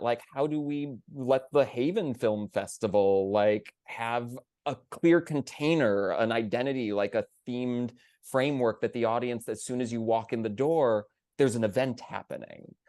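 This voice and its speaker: Male panelist, mid-pitched, dry sound, enthusiastic and conversational, drifts into tangents. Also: a little slow, a little mellow, no room sound. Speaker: theatre panelist. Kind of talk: casual panel discussion.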